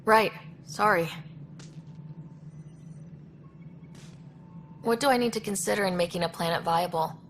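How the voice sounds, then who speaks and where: An actress playing a young space explorer in a video game, speaking in a casual, direct, inquisitive way. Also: female voice, mid-range voice, quick pace, slight background noise, close to an everyday voice. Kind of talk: video game dialogue acting.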